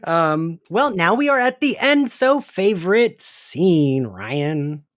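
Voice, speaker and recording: A man's voice: high-pitched, sing-song, slow pace, fairly bright tone, no echo, clean studio sound. A man hosting a podcast, steering a casual fan discussion and thinking aloud in an informal way. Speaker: podcast host leading the discussion.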